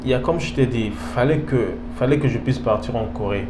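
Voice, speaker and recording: Man, Congolese French accent, medium-pitched voice, halting interview answers, repeated phrases, roomy echo, background hum. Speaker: interview guest, professional footballer. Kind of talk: casual interview answers, mixing languages. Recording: echoey room, clear background hum.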